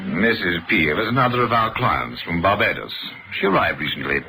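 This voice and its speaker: A smug banker in a radio drama. His low, bright voice moves with lively emphasis, condescending yet pointedly polite. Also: a little fast, slight background noise, heavily acted. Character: smooth, condescending banker and wine connoisseur.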